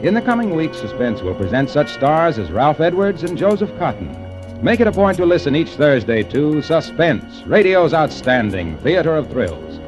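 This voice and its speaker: A man announcing an old radio drama and reading its sponsor ads in a polished, salesy way. His voice is a little high and very lively. Faint music plays behind him. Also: moderate pace.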